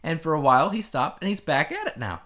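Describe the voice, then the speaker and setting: A man's voice: fairly high pitch, huge pitch swings, fast, bright, forceful tone. A middle-aged man co-hosting a podcast in casual banter, teasing and often repeating his phrases. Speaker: podcast co-host.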